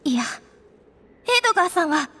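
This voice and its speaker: An earnest teenage forest ranger girl talks with tomboyish informality. Her anime game voice is strikingly high and caring, over faint background noise. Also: lively intonation, a little fast, pushed and bright. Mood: concerned.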